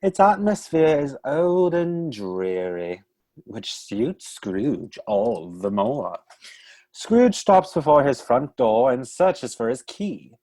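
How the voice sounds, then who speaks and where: A man's voice: medium pitch, lively intonation, slow, dark tone, dry recording. A man voicing an exasperated male author who narrates his own story in a radio play. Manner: exasperated, sighing.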